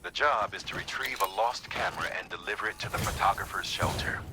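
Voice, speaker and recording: An actor playing a gruff, businesslike mission briefer in a video game, measured, with a gravelly, breathy undertone. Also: male voice, low-pitched, background noise, natural voice.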